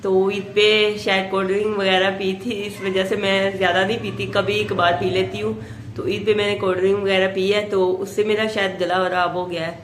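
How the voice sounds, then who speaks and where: Hoarse Pakistani woman vlogging at home. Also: medium pitch, level tone, a little fast, a little hiss or hum. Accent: Pakistani Urdu. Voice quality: soft, chatty, full of blessings and "ji". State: hoarse from a sore throat. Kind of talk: daily routine home vlog.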